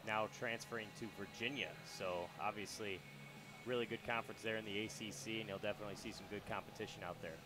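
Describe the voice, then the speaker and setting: Man, young adult, baseball radio announcer, lowish voice, relaxed chatty delivery. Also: fast, music in the background, rough recording. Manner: relaxed, chatty, with loose fillers. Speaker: radio color commentator and play-by-play announcer. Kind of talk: casual baseball radio commentary. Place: ballpark broadcast booth.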